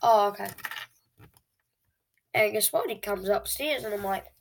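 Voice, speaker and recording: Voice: mid-range voice, measured pace, vocal fry, slight background noise. An eleven-year-old child hosting a video channel, telling stories casually to viewers in a dramatic, whiny way. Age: child, eleven years old.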